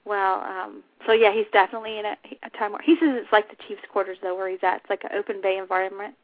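A woman's voice: medium pitch, flat delivery, fast, dry recording. A woman with a scratchy voice hosting an unscripted talk show, chatting casually and informally. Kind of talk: unscripted casual radio chat. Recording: tinny phone-line internet radio audio.

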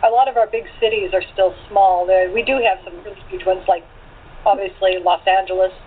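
A woman's voice: mid-range voice, fairly even intonation, brisk. An American woman chatting casually as a guest in a remote group interview. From Portland, Oregon, USA. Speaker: cast member being interviewed. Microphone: online call. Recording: thin phone-like call with background noise.

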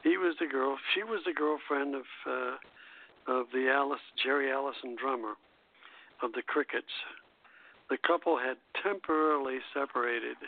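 A man hosting a radio show, reading prepared history notes aloud in a halting way and stumbling over words. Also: mid-range voice, vocal fry. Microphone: phone call-in line. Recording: tinny phone line.

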